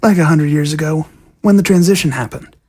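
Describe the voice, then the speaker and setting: A woman playing a youthful zombie prisoner, frank and low-key, talking casually in an audio drama. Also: fairly quick, mellow, husky. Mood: matter-of-fact. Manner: casual, matter-of-fact.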